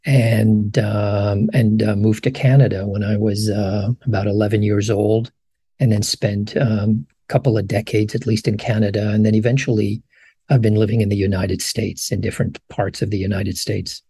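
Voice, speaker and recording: A man's voice: lowish voice, flat delivery, moderate pace, mellow, husky, dry recording. A man in his sixties from Morocco, a professor answering interview questions thoughtfully, with frequent ums and restarts. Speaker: professor, podcast guest. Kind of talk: long-form interview answers.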